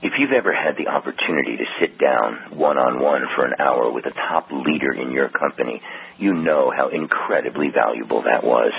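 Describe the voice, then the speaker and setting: A man's voice: extremely low pitch, vocal fry, breathy edge. A man reading a scripted radio commercial in a persuasive, conversational way. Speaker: ad announcer. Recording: tinny phone-like audio.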